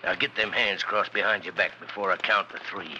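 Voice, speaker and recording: Sharp, forceful Old West gunman. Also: deep voice, fairly even intonation, brisk, highs cut off. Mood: menacing. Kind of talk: old-time radio western acting. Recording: faintly noisy vintage radio recording.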